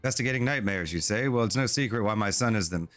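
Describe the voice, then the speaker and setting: A man voicing a tired, grieving middle-aged father, reading the lines slowly in a low voice. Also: lively intonation, fairly bright tone. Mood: weary. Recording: faint music behind the voice.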